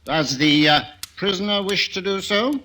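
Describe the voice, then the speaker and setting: A man's voice: high-pitched, normal speed, sharp tone, a little hiss or hum. An actor playing a stern, pompous male judge who hesitates between formal pronouncements, in a 1940s radio drama.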